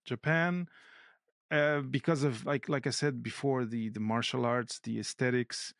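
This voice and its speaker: A man speaks reflectively as a podcast guest, pausing to find his words. His voice has a gravelly, creaky texture at a middle pitch. The recording is close and dry, with no room sound. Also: fairly even intonation, dry recording. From Portugal. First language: Portuguese. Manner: thoughtful, searches for words aloud. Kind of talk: casual conversation.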